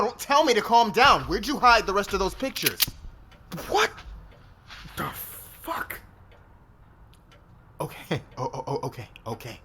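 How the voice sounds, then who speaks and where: A man playing a demanding, defensive boss with a hot temper and a hidden crush, halting and intense, in an ASMR romance roleplay. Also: sits high, sharp tone, a little hiss or hum, full character voice. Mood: furious, then pleading, panicked.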